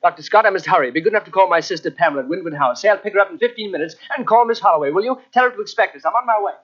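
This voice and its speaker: An actor playing the urgent hero and narrator of a haunted-house radio mystery, with theatrical intensity. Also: male voice, sits high, lively intonation, rapid-fire, fairly bright tone. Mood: alarmed, urgent. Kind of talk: old-time radio drama acting. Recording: muffled old radio cassette transfer.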